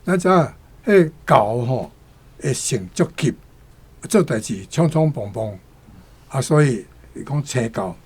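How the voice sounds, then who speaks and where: A man's voice: mid-range voice, lively intonation, unhurried, husky. A man with a Taiwanese Hokkien accent giving informal, explanatory commentary on a piece of writing, as a guest.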